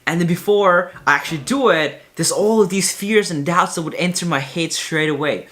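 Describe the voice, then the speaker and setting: A young man with a high-pitched voice talking casually and candidly to camera in a vlog, rambling with many fillers and restarts. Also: normal speed, a bit bright. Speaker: vlogger.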